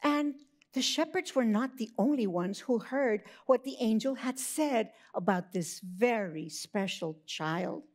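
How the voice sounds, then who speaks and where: A woman narrating a scripted Christmas story for children, expressive, with drawn-out emphasis. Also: on the high side.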